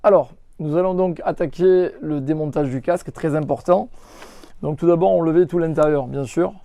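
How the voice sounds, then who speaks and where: A man with a fairly high, mellow voice walks through a practical demonstration. He speaks off the cuff like a shop presenter, explaining step by step and underlining key points. The sound is dry and close. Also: moderate pace, creaky, no echo. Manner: instructive, emphatic, repeats reminders of what matters. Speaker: motorcycle accessory shop presenter. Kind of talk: hands-on product tutorial, unscripted.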